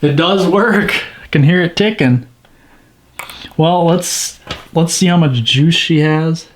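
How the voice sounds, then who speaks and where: A man's voice: on the high side, slow pace. A man giving casual, informal hands-on commentary, thinking aloud as he works. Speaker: watch restoration video host.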